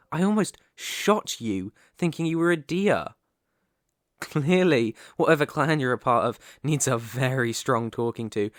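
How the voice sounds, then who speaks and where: A man's voice: mid-range voice, fairly bright tone, no echo, clean studio sound. A man playing a proud, wary male elf hunter who is hostile to humans, stern and indignant, in an ASMR roleplay. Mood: exasperated. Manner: stern, indignant, halting when baffled.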